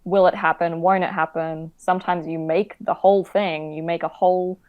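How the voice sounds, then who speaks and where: A woman's voice: fairly low pitch, steady tone, normal speed, narrow, tinny audio. A woman from Queensland, an actor talking as a podcast guest, hesitant with many fillers. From Queensland, Australia. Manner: hesitant, many ums, laughs while talking. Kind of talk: casual interview.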